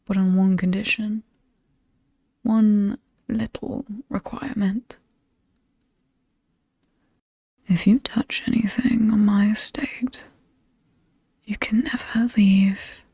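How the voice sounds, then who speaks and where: Woman as mysterious British demon hostess for horror ASMR: mellow, very close and dry, breathy whispers, playful menace. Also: mid-range voice, fairly even intonation, no room sound. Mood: teasing threat. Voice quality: breathy, often dropping to a whisper. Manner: slow, teasing, coolly amused and aristocratic. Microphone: close, dry microphone.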